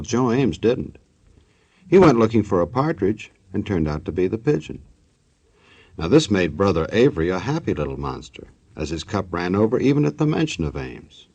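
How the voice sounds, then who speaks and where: A man's voice: low-pitched, darkish tone, slightly rough, full character voice. An actor playing a sardonic, wisecracking male narrator in a 1940s radio show.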